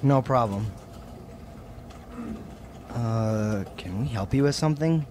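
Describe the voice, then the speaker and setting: A man playing a wary, dutiful teenage son in a radio drama, cautious and quick to protest. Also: medium pitch, close to an everyday voice. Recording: noisy amateur radio drama recording.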